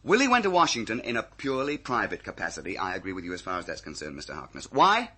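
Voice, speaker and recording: A man's voice: lowish voice, wildly swooping pitch, fast talker, sharp tone, husky, heavily acted. A man acting a brash, blunt British security agent in an old-time radio thriller, with clipped, sardonic, slangy speech. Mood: pressing, forceful.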